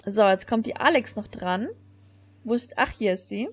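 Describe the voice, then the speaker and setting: A woman doing expressive let's play narration as a gaming video creator. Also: medium pitch, normal speed. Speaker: gaming video creator reading story dialogue aloud. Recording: music behind the voice. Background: game music.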